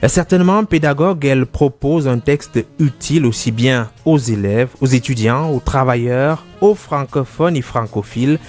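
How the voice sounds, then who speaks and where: Guy hosting a book interview, formal and measured, a few ums, light music under. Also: medium pitch, darkish tone. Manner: measured, formal questioning with fillers. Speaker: host. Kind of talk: literary interview.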